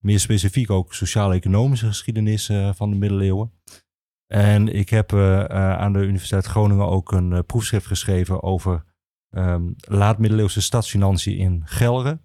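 A man explains things as an interview guest. His voice is fairly low and soft-edged, and he talks hesitantly in a measured way, recorded very dry. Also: fairly even intonation, no room sound. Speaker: guest, archivist and historian.

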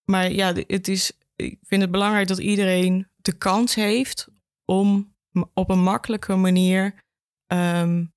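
A woman's voice: medium pitch, flat delivery, unhurried, clean tone, no room sound, clean studio sound. A woman, talking conversationally as an archive education officer in an interview.

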